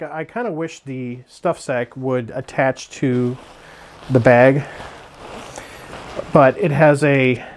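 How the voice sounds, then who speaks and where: Male hiking vlogger, casual gear review, rambling delivery full of fillers and restarts, average pitch, on camera mic off screen. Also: a little mellow, a little hiss or hum. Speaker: hiking channel host.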